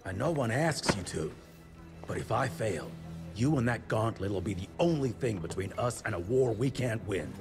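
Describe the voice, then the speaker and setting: A man playing a grave, steady frontier monster hunter in a video game, speaking in a measured, plain-spoken, folksy way. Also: lowish voice, music in the background. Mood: resolute, urging. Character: grave, gritty frontier monster-hunting veteran, steady mentor.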